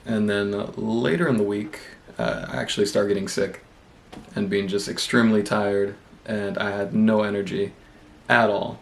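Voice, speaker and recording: Congested man with a gravelly low voice. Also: moderate pace, a little hiss or hum. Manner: relaxed, rambling, self-deprecating. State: congested with a cold. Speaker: booktube vlogger. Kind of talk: casual vlog chat.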